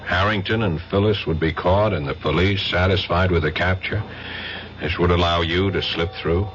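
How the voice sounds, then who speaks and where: An actor with an American accent playing a cool, wry, steady investigator in a radio drama. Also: male voice, low voice, normal speed. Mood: matter-of-fact. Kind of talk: 1970s radio drama acting. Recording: dull, hissy 1970s radio recording.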